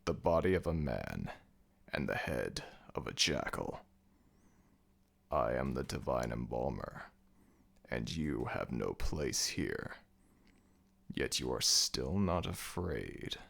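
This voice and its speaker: A man acting as an imposing jackal-headed god of the dead in an ASMR audio roleplay, speaking slowly and formally with commanding, deliberate menace and curiosity. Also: extremely low pitch, sing-song, gravelly, a bit bright, heavily acted. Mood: menacing, curious.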